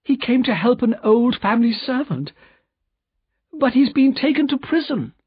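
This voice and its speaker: A man's voice: extremely high pitch, expressive, normal speed, slightly rough, no room sound, muffled recording, voice pushed up, full character voice. A man voicing a distraught young wife in a storybook reading. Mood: distressed.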